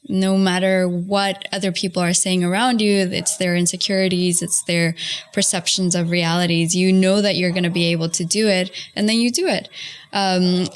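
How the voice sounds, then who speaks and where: A woman's voice: medium pitch, clear, resonant tone. Young woman, reflective and emphatic.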